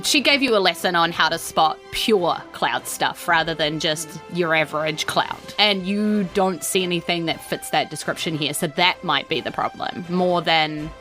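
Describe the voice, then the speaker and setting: A woman's voice: sits low, bright, forceful tone. A woman with a New Zealand accent, narrating a tabletop game as game master in an informal, conversational way. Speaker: game master, podcast host.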